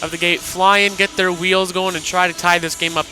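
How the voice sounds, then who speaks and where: A man provides analysis on live hockey radio. His voice is high-pitched and intensely bright, with a tense, forceful sound. There is faint music in the background. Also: little pitch movement, moderate pace, poor audio. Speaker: colour commentator.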